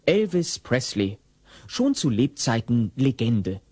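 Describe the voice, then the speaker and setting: A man's voice: mid-range voice, sing-song, takes it slowly, a little mellow, husky, no room sound, faint music behind, exaggerated voice. A man narrating a comedy audio play as a wry, theatrical storyteller. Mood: mock solemn. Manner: wry, dramatic.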